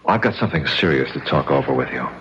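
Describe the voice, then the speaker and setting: An actor playing an earnest, exasperated lawyer in a vintage mystery radio drama, reasoning and hesitant. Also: male voice, extremely low pitch, animated, quick pace, fairly bright tone, slight background noise. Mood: awkward, serious. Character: earnest, exasperated male lawyer, sceptical fiancé. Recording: dull, lo-fi old radio recording.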